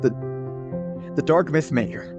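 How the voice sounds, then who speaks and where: An actor plays a guilty young man for an audio drama. He speaks haltingly, stumbling over apologies. Music sits clearly behind him. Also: mid-range voice, highs cut off, natural voice. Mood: flustered. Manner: halting, stammering.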